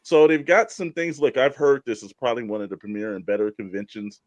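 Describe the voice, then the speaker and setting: A man with a mid-pitched voice hosts a casual panel show. He talks conversationally, and the sound is close and dry, with very little room on it. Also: brisk, no room sound. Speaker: show host.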